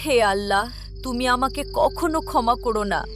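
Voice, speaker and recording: A woman's voice: on the high side, normal speed, music in the background, close to an everyday voice. A woman voicing a proud mother broken by guilt, lamenting in a melodramatic cartoon story. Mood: anguished remorse. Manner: anguished, self-condemning lament.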